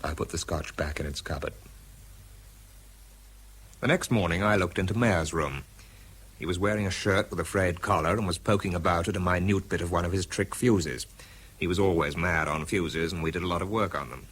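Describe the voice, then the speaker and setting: An actor playing a weary, wry wartime weapons researcher with a British accent, narrating and talking in a dry, understated way. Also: male voice, deep voice, moderate pace, fairly bright tone, slightly rough. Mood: weary, matter-of-fact. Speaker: lead actor and first-person narrator. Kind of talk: radio drama narration and dialogue.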